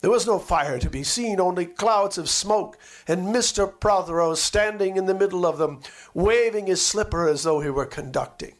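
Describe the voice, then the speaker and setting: Guy with a highish voice reading a poetic memoir, dramatic phrasing, lingers on words. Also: normal speed. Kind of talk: expressive literary reading of a lyrical memoir.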